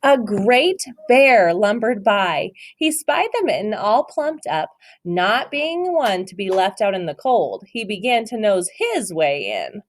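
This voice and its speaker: A woman reading a picture book aloud to a child, stopping to ask questions, gentle and encouraging with dramatic storytelling. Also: mid-range voice, very animated, measured pace.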